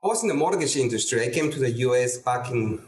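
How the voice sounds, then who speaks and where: Man, halting, in a roomy space. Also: medium pitch, animated, a little mellow. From Peru. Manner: halting, many restarts and fillers. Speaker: guest real estate investor. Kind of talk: casual interview talk.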